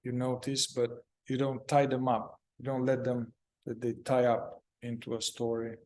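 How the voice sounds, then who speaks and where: A man leading a guided meditation, speaking calmly in short, halting phrases with long pauses. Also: mid-range voice.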